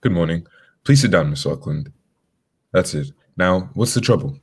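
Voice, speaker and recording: A man playing a composed, courteous gentleman detective in a radio drama, with formal, polite wording. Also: low voice, expressive, moderate pace, husky, exaggerated voice.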